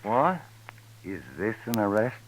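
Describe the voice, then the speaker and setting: An actor playing a touchy, defiant shady gambler in a 1950s radio drama, indignant and protesting. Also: male voice, on the low side, sing-song, a little slow, poor audio.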